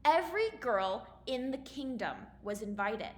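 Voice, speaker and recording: A woman's voice: a little high, animated, a little slow. A woman speaking as an elementary teacher, explaining and reading aloud in simple, instructive phrases for children. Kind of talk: explanatory lesson and storybook read-aloud. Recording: roomy echo, faint background noise.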